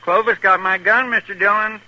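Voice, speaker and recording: Casting: loyal frontier deputy, rural American drawl, bright scratchy edge, faintly noisy vintage radio recording. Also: male voice, high voice, fairly even intonation, moderate pace, highs cut off. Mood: anxious. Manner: drawling, homespun, deferential to his boss. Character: folksy, loyal, plain-spoken marshal's deputy sidekick. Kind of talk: 1950s western radio drama acting.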